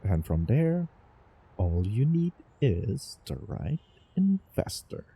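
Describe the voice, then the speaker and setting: A man playing a doting, obsessive young time traveler in love, in a theatrical romantic audio roleplay with halting, repeated words. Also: medium pitch, sing-song, creaky, mellow, breathy edge, full character voice. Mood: reassuring, eager. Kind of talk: over-the-top romantic roleplay audio drama.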